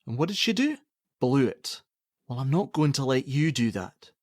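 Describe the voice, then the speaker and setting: A man's voice: mid-range voice, big pitch swings, moderate pace, a little mellow, no room sound, clean studio sound. An actor playing a defensive, argumentative middle-aged father in a naturalistic radio play, sarcastic and given to swearing. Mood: cruel sarcasm. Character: angry, defensive, domineering father of a pregnant teenage girl.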